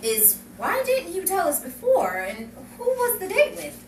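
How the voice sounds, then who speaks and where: A woman's voice: very high-pitched, wildly swooping pitch, measured pace, full character voice. A woman playing a fussy, flustered, meddling wife in a 1950s-style radio comedy, stammering and over-sweet. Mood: sweetly meddling. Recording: noisy, echoey, distant room recording.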